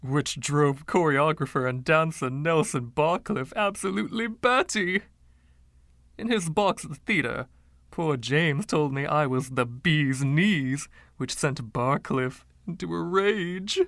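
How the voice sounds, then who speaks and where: A man's voice: fairly high pitch, expressive, takes it slowly, a bit bright, dry recording, studio quality, exaggerated voice. A man voicing a boastful, giggly 1920s dandy in a storybook reading, with a silky voice and theatrical, teasing old-fashioned slang. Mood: grand, playful.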